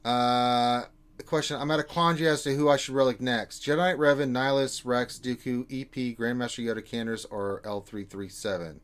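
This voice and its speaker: A man hosts a relaxed live Q&A, reading viewer questions aloud. He jokes and teases his co-hosts and fills pauses with "uh". He talks into an online call mic. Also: mid-range voice, clear, resonant tone. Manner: joking, quick asides, frequent "uh" fillers. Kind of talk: casual live Q&A chat.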